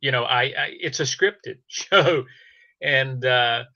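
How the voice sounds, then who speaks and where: Halting man with creaky, gravelly voice. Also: mid-range voice, bright, forceful tone, no room sound, highs cut off. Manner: halting, hesitant, repeats words. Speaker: fiction podcast host. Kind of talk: casual panel conversation.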